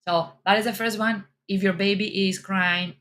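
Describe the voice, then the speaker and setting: A woman's voice: mid-range voice, steady tone, normal speed, fairly bright tone, a little hiss or hum. A middle-aged woman with a Colombian accent giving parents reassuring advice and repeating her words often. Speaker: mom fitness coach. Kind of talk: casual advice talk.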